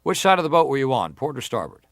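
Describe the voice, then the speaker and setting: A man acting as a senator at an inquiry, putting questions in a formal, deliberate and persistent manner. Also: medium pitch, very animated, dry recording, clean studio sound. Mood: insistent, methodical. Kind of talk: dramatized reading of hearing testimony.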